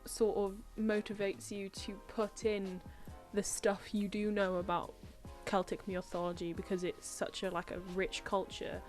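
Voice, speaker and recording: Young Welsh woman host, mid-range voice, chatty halting questions over faint music. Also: medium pitch, little pitch movement. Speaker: podcast host. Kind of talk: casual chat.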